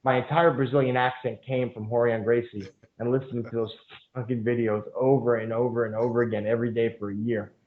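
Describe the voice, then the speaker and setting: Male guest, middle-pitched, rambling conversational storyteller, narrow phone-quality line. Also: flat delivery, fairly quick. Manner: rambling, frequent fillers and profanity. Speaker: podcast guest, jiu-jitsu instructor. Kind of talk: casual storytelling interview.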